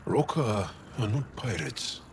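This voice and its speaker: A man's voice: fairly low pitch, sing-song, takes it slowly, noisy background. A man playing a wary, seasoned alien resistance fighter in a video game, speaking seriously and with care. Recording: music behind the voice.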